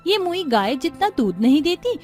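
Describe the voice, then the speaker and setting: A woman puts on a greedy cowherd's wife from a folk tale. Her very high voice nags and grumbles in colloquial speech over faint music. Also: big pitch swings, normal speed, a little mellow. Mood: grumbling. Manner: nagging, colloquial.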